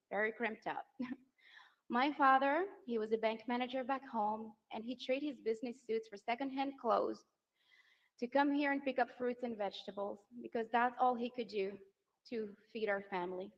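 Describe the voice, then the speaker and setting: A high-pitched woman delivers a heartfelt rally speech on a handheld mic. She rises from soft, emotional passages to shouted lines over a big outdoor crowd. The broadcast sound is a little dull. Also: brisk. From Venezuela. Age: 30s. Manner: emotional, rousing, builds to shouted applause lines. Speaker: political spouse introducing candidate.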